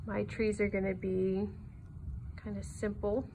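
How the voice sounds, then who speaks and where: A woman's voice: mid-range voice, level tone, unhurried, creaky, warm tone. Encouraging woman teaching drawing, some background noise. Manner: encouraging, easygoing instruction. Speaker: art teacher. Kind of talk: step-by-step drawing tutorial.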